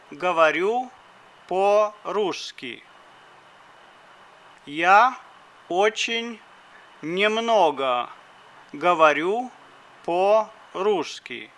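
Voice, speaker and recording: A man reads drill phrases for a language lesson, pronouncing each word with great care. He speaks very slowly. His voice is fairly high and smooth. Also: animated, a bit bright. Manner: deliberate, carefully articulated phrases. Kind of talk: slow phrasebook drill reading.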